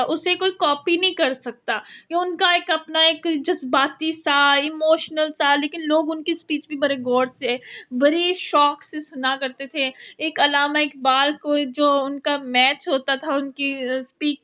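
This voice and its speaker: A woman's voice: sits high, fairly even intonation, measured pace, sharp tone, muffled recording. A woman lecturer explaining a course topic step by step, repeating her points and mixing in English terms. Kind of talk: recorded university lecture.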